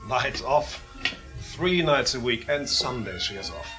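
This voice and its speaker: An actor playing a chatty, hospitable German surgeon in a radio drama, dryly complaining to an old friend. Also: male voice, mid-range voice, very animated, a little slow, pushed and bright. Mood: genial, wry. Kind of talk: naturalistic radio drama acting. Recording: music clearly under the voice.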